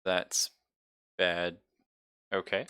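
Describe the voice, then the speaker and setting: Bright, low-voiced man running a tabletop game. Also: monotone, drawn-out words, clean tone, dry recording, clean studio sound. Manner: explains rules conversationally, describes gory action. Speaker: game master. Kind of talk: casual tabletop game narration and banter.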